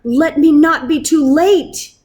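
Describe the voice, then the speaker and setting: A woman's voice: sits high, slow pace, darkish tone, pitched above the performer's own voice. A woman reading a storybook and voicing a reckless, dramatic father who grumbles and pleads emphatically. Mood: frantic.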